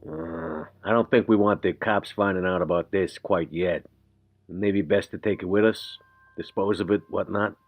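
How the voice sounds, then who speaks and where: A man's voice: low voice, fairly even intonation, moderate pace. A man playing a blunt, wary, streetwise vampire in a tabletop game, with terse, colloquial speech. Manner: plain-spoken, colloquial, terse. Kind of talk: tabletop roleplay in character.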